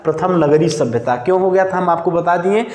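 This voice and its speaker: A male teacher lecturing and dictating notes, asking questions and answering them himself, slowing down to spell out each word as he writes. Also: high-pitched, darkish tone. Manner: asks and answers his own questions, slows down to dictate words while writing. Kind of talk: classroom lecture with note dictation. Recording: echoey room with long reverb.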